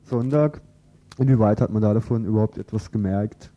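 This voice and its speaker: A man hosting an unscripted radio interview, talking informally and a little haltingly as he presents and asks questions. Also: fairly low pitch, dark tone, muffled recording.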